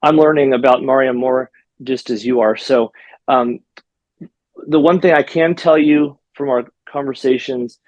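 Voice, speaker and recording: A man hosting a podcast in a friendly, chatty way, with lots of ums and small restarts. His voice sits at an ordinary middle pitch, recorded close and dry with no room sound. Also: unhurried, a little mellow, dry recording, highs cut off. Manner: friendly, hesitant with fillers. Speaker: podcast host, physical therapist. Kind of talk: casual interview podcast.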